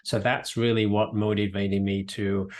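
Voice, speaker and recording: A middle-aged man from the United Kingdom, explaining things in a measured way during an interview. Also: on the low side, little pitch movement, darkish tone. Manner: measured, explanatory, frequent restarts. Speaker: president and CEO of an economic council. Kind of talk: podcast interview answers.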